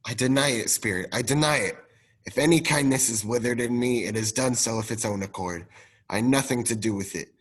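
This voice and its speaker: A man's voice: mid-range voice, a little fast, close to an everyday voice. A young man acting an anxious, stubborn old miser in a radio play. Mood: defiant.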